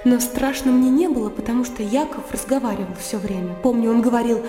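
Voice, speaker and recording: An actress playing a spirited young country gentlewoman in a radio play, telling things in a vivid, artless, conversational way. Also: on the high side, a little fast, natural voice. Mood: vivid, earnest. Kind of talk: radio play acting, animated storytelling. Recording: echoey reverberant studio, music behind. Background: music.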